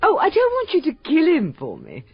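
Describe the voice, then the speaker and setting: A woman's voice: high-pitched, wildly swooping pitch, a little fast, creaky, full character voice. A woman playing a glamorous, self-absorbed society actress who gushes and coaxes theatrically in a radio drama. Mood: wheedling. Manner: theatrical, gushing, coaxing. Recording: muffled radio drama with music.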